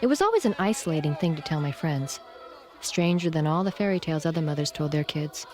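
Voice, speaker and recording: A woman's voice: low voice, animated, fast talker, a little mellow, soft background music. A woman from Taiwan performing a candid autobiographical monologue as an adult daughter in an audio docudrama. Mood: reflective, candid. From born in Taiwan. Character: candid adult daughter recalling her difficult mother.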